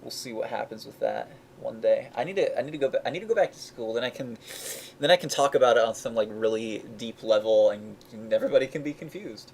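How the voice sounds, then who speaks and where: A man's voice: medium pitch, fast, darkish tone, a little hiss or hum. A young man, a game developer, rambling in casual chat, often restarting his sentences and laughing at himself. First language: English.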